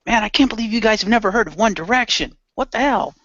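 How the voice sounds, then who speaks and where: A man's voice: high voice, expressive, fast, a bit bright, dry recording, dull audio. A man narrating his own character's actions and speaking his lines casually in an improvised radio play.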